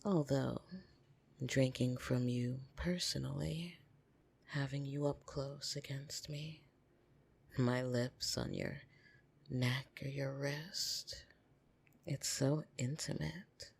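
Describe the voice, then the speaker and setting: A voice actor playing a flirtatious, possessive upper-class vampire in an intimate ASMR roleplay, teasing and soft-spoken with breathy whispers. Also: mid-range voice, fairly even intonation, takes it slowly, pauses often, full character voice. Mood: intimate, seductive. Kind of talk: ASMR roleplay, intimate second-person monologue.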